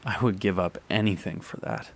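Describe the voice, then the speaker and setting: A young man performing a radio drama monologue, halting and sighing as he pleads with an absent partner. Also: sits low, a little hiss or hum. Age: young adult. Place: beside the sea.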